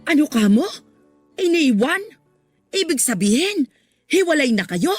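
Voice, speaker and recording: A stern, middle-aged mother and grandmother from a radio drama. Her voice is fairly high, with a bossy, scolding way that still shows care, over faint music. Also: big pitch swings, measured pace. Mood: shocked, indignant. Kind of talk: Tagalog radio drama acting.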